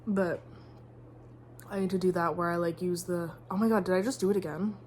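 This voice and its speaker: A woman's voice: on the low side, fairly even intonation, brisk, very noisy. A young woman vlogging in casual, rambling chat, sniffly and full of "like". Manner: rambling, chatty, heavy use of "like".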